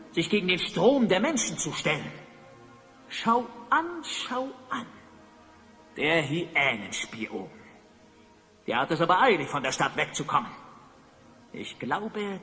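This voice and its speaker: Grandiose evil wizard villain, kinda high forceful voice, big reverb, audio play. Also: male voice, full character voice. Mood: watchful. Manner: theatrical, grandiose, brooding villain monologue. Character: pompous evil sorcerer, self-styled Master of Time. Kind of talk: German audio drama acting. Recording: long reverb, music underneath.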